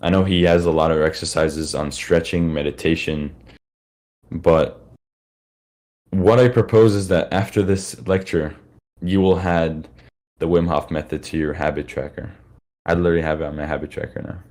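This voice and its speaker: A man's voice: deep voice, fairly even intonation, normal speed, a little mellow. A man with a tired, worn-out voice giving a casual, rambling live lecture to listeners, with many fillers and restarts.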